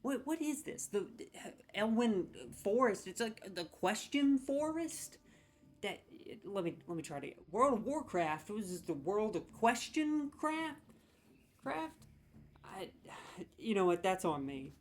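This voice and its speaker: A man's voice: high voice, sing-song, unhurried, slight background noise. A man hosting a comedic video, ranting sarcastically with mock outrage as he scores game voice lines.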